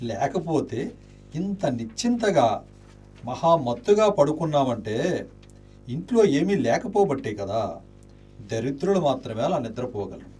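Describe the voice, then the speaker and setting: An actor playing an honourable, impoverished, melancholy gentleman in an Indian radio play, speaking in a declamatory, dramatic way. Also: on the high side, big pitch swings, unhurried, pauses often. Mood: wry. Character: Charudatta, noble impoverished gentleman, honourable, self-pitying, melancholy.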